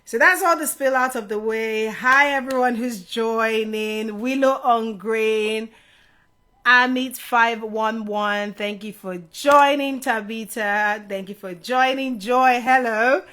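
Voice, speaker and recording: A woman's voice: fairly high pitch, slow, sharp tone. A middle-aged woman from Nigeria hosting an informal live Q&A, chatting directly to her viewers as a career adviser. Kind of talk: casual live stream Q&A chat to viewers.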